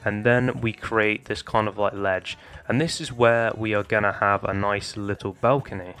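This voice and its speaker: A young man with a slightly hoarse voice casually talking viewers through a building tutorial, addressing them as guys. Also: on the low side, flat delivery, moderate pace, a bit bright. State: slightly hoarse, losing his voice. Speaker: Minecraft building tutorial creator. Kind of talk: casual gameplay tutorial commentary. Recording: faint background music.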